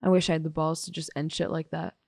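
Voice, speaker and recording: A woman's voice: low-pitched, flat delivery, fast talker, gravelly, darkish tone, no echo, studio quality. A woman acting a blunt, dismissive, jaded teenage girl testifying in an audio drama. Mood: exasperated. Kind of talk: audio drama monologue, police interview testimony.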